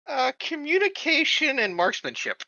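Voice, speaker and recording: A man improvising in a tabletop roleplaying game, speaking haltingly and stammering over the starts of words. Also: very high voice, wildly swooping pitch, sharp tone, highs cut off, a little hiss or hum. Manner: halting, stammering, repeats first syllables.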